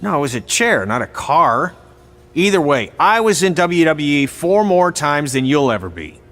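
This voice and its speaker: An actor playing a sarcastic, blunt veteran wrestling trainer in video game dialogue. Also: male voice, a little high, big pitch swings, normal speed, a bit bright.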